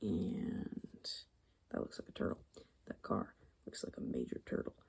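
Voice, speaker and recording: A young adult woman hosting ASMR, speaking softly and breathily, often whispering, musing aloud in an informal, murmured way. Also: measured pace. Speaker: ASMR video host. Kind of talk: ASMR, soft-spoken personality explainer while drawing.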